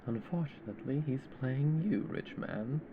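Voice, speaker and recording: A man's voice: mid-range voice, normal speed, phone-quality sound, noisy background, natural voice. A man voicing a possessive, mocking yandere captor in an ASMR roleplay, with a sardonic drawl.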